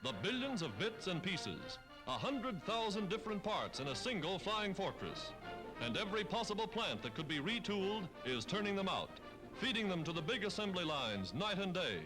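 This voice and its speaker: A man's voice: sits high, big pitch swings, moderate pace, poor audio. An American man narrating a scripted 1940s newsreel in a dramatic, emphatic, declamatory manner, on a vintage film soundtrack. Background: orchestral music.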